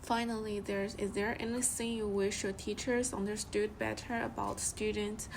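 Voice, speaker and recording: A woman's voice: medium pitch, level tone, creaky, low-quality recording. Polite woman interviewer, faint hiss. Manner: polite, slightly halting. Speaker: interviewer, student. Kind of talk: scripted interview questions.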